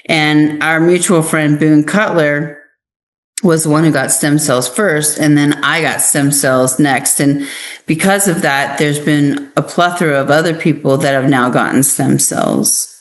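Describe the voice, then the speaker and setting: American woman chatting in an interview, pretty low voice, earnest and emphatic. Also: a little slow. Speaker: interview guest, actress and author. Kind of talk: conversational interview answers.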